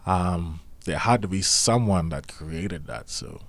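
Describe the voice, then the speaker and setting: A young man with a nasal voice co-hosting a podcast, talking in a calm, laid-back way with many pauses. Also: low voice, dry recording. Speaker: podcast co-host. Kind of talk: casual chat.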